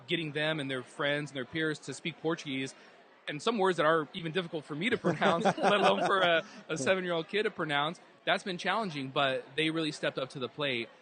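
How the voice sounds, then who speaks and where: A man's voice: fairly high pitch, pushed and bright, slight background noise. A man earnestly telling his story as a guest in a casual interview at a live event hall. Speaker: guest, dance group organizer.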